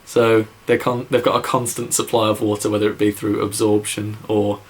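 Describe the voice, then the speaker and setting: Young English man from the Midlands, lowish voice, rambly casual vlogger full of ums and likes. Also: little pitch movement, normal speed. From England, near Birmingham. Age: young adult. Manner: rambling, lots of fillers and "like", says so himself. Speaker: YouTube musician answering viewer questions. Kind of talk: casual vlog Q&A.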